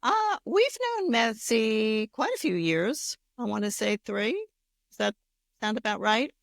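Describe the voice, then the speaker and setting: A woman's voice: a little high, animated, a little slow, creaky, no room sound, studio quality. A woman co-hosting an interview show, asking questions in a friendly, conversational way. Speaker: podcast co-host.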